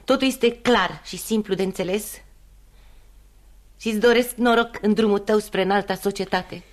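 An actress in a radio play playing a proud, wounded mistress in her thirties, speaking in an intimate, halting, wry way. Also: mid-range voice, close to an everyday voice. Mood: probing, insistent. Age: 30s. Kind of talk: radio theatre acting.

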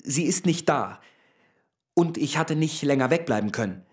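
A man's voice: fairly high pitch, expressive, moderate pace, a little mellow, lightly acted. An actor playing a proud, slightly pompous young hotel lift boy with affected, bungled grammar in a literary radio drama. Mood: sheepish. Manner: affected speech with bungled double negatives.

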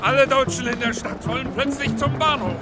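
Obsessed film director fleeing a war, radio play, really high urgent voice, big music behind. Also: male voice, a little fast. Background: loud music.

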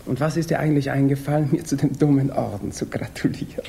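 Suave young man as acted by a man, heavy vocal fry, dark tone, nonchalant, condescending, 1950s radio drama. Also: mid-range voice, fairly even intonation, fast talker, lightly acted. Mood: teasing, condescending. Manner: light, nonchalant, chatty. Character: urbane, breezy, condescending young violinist, old flame. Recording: faintly noisy 1950s radio recording.

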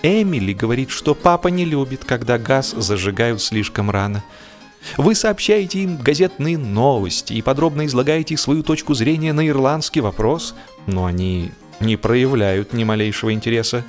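Male audiobook narrator, mid-range voice, expressive and wry, emphatic phrasing with long pauses, faint music underneath. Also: medium pitch. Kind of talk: expressive literary narration of a humorous classic.

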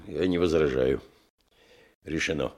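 An actor playing a gruff, decisive police chief running a meeting in a radio drama. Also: male voice, rumbling low, takes it slowly, full character voice. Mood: brisk, wry.

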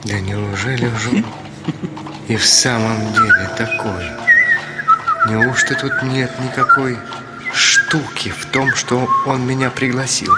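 An actor playing a worldly, ironic middle-aged gentleman in a radio drama, wry and urbane. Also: medium pitch, fairly even intonation, takes it slowly, loud music behind the voice, lightly acted. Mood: sceptical, brooding. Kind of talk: theatrical Russian radio drama.

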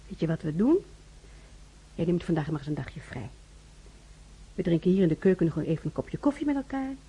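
Soft, husky, lilting kindly mistress. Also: female voice, lowish voice, lively intonation, fairly quick. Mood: kindly concern. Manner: gentle, solicitous. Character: kindly, motherly lady of the house coaxing her maid. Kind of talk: radio drama acting. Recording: dull radio recording.

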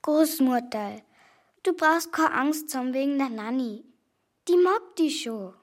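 A woman playing a young granddaughter in a radio drama, speaking slowly and gently to reassure. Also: sits high, expressive. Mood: soothing, tender.

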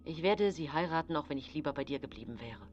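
A woman voicing an evasive, apologetic male lover, earnest and halting, in a radio drama. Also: sits low, expressive, a little mellow, lo-fi sound, soft background music. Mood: apologetic, resolute.

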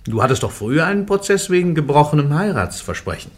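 A man's voice: on the high side, sing-song, fast talker. A man acting an insistent, learned church interrogator in a German radio play, urging and pressing. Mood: probing.

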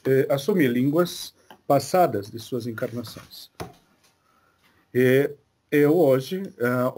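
A man channeling a spirit guide, speaking haltingly with frequent pauses as he hunts for each word. His voice sits at an ordinary middle pitch. The delivery is careful and unhurried. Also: warm tone. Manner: hesitant, searches for words, many pauses. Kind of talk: slow halting spiritual talk.

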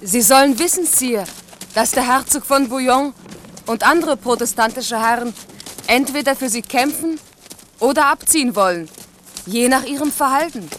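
A woman's voice: high-pitched, moderate pace, fairly bright tone, a little hiss or hum. An actress playing the king's devoted, ambitious noble mistress in a 1970s radio drama, earnest and intimate. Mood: warning.

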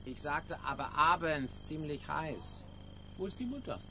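A man's voice: a little high, lively intonation, measured pace, sharp tone, a little hiss or hum, full character voice. An actor playing a tipsy, prying male stranger in a radio drama, insinuating and teasing in a loose, casual way. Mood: sly, tipsy. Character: tipsy, prying, sly male stranger on a dark hotel veranda.

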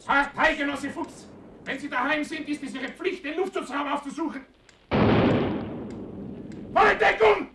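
An actor playing an officious, shrill Nazi air-raid warden in a radio drama, shouting commands. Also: male voice, measured pace, long pauses. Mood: officious, barking. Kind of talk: heightened radio drama acting. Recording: distant, echoey, noisy shouting.

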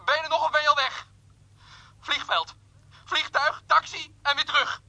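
A man's voice: very high-pitched, flat delivery, normal speed, creaky, pushed and bright, no echo, heavily acted, low-quality recording. An actor playing a pushy, jealous, petulant jilted man who stammers and repeats his demands through a telephone filter. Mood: angry, bossy.

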